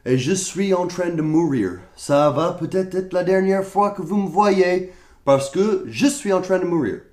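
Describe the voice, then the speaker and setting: American man ranting, high voice, echoey room. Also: measured pace, a little mellow. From United States. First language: English. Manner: exasperated, sarcastic complaining. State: coughing, sick. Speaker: vlogger. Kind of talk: comedic rant to camera.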